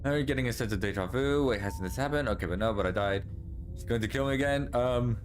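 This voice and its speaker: A man's voice: mid-range voice, fast talker, faint music behind. A man streaming a horror game, chatting casually and laughing often.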